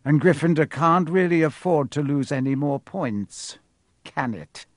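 Silky, drawling menacing schoolmaster, read by a man, slow and low. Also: no echo, clean studio sound, close to an everyday voice. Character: cold sneering male teacher, menacing.

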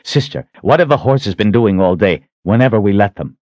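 A man's voice: fairly low pitch, expressive, normal speed, a little mellow, no room sound, highs cut off, close to an everyday voice. A man playing an urgent, determined hero of a fantasy audiobook, intense and insistent in manner. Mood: urgent, persuading.

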